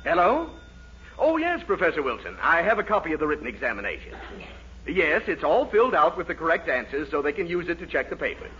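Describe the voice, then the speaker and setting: An actor playing a sarcastic, exasperated college professor in a fussy, theatrical 1940s radio comedy style. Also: male voice, fairly high pitch, sing-song, fast talker, pushed and bright. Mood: brisk, exasperated. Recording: dull, noisy 1940s radio recording, audience.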